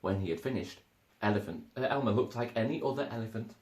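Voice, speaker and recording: Animated man reading a children's story. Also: fairly low pitch, fast talker. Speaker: storybook reader. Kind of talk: expressive children's story narration. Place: small living room, indoors. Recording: echoey living room.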